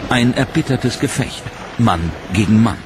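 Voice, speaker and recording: A man giving scripted, measured documentary narration in a dramatic storytelling manner, over a music bed. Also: medium pitch, animated. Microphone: studio voice-over mic.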